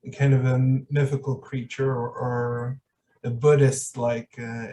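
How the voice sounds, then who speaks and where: A man's voice: medium pitch, flat delivery, measured pace, mellow, recorded from a distance. A man hosting a podcast in casual, reflective conversation, hesitant and searching for his words. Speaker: podcast host.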